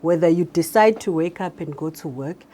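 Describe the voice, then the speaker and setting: A South African woman with a low, mellow voice talks in an interview. She explains things as a director would and code-switches freely, over faint noise. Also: normal speed. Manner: explanatory, switches between Zulu and English. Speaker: radio drama director. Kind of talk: interview talk.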